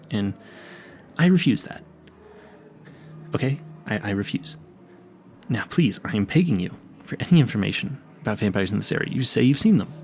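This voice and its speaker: A man's voice: fairly low pitch, creaky. A man playing a clueless, earnest vampire hunter in an audio roleplay, stammering and rambling in a politely formal, pleading way. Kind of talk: intimate audio roleplay addressed to the listener.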